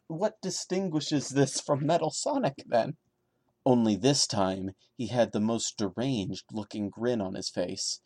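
A man's voice: mid-range voice, a little mellow, no room sound, clean studio sound. A man hosting a podcast, giving a dramatic reading of a horror story with incredulous, sarcastic asides in a theatrical manner. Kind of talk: dramatic reading with mocking commentary.

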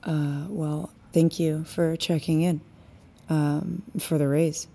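An actress playing a flustered, guarded and blunt employee in a naturalistic audio drama, stammering and awkwardly apologetic. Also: female voice, sits low, level tone, slow, gravelly, dark tone, exaggerated voice.

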